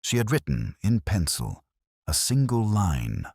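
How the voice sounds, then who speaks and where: A man giving a measured, brooding first-person narration of a detective story. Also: lowish voice, wildly swooping pitch, vocal fry, darkish tone, husky, no echo, studio quality. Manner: measured, brooding, literary delivery.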